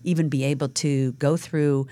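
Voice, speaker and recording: A woman with a notably low voice hosts an interview podcast. She talks in a halting, encouraging way and often restarts her sentences. Her tone stays supportive throughout. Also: steady tone, mellow. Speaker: podcast host. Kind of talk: interview conversation.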